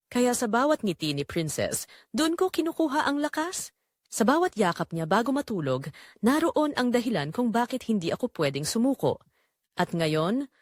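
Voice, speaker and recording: A dry, close recording carries a woman acting as a poor, devoted mother in an audio drama. Her medium-pitched voice moves expressively up and down. She narrates in measured, earnest phrases, confiding like someone weighed down by guilt. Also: no room sound. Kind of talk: scripted dramatic first-person narration.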